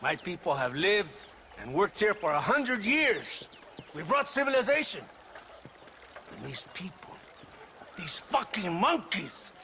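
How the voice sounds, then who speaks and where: A man's voice: sits high, pushed and bright, a little hiss or hum, heavily acted. A man playing a menacing, pompous Mexican military tyrant in a game cutscene, theatrical and mocking, with a Mexican accent. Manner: theatrical, sneering, mixes Spanish into English. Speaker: video game character actor. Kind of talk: dramatic game cutscene acting.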